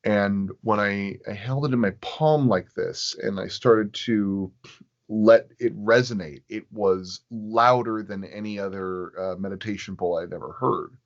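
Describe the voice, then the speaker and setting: A man with a fairly low voice talks casually as a podcast guest. He explains himself in a halting, rambling way, feeling out each phrase. The recording is muffled and lo-fi. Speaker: sound designer, podcast guest. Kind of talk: casual interview chat. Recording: dull, lo-fi audio.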